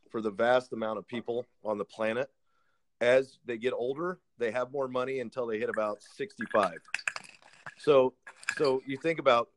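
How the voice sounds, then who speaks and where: An earnest man in his thirties talks through his work in a relaxed, casual exchange. He chuckles at himself, then settles into sincere advice, with a voice of ordinary middle pitch. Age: 30s. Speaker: realtor. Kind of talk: casual podcast conversation.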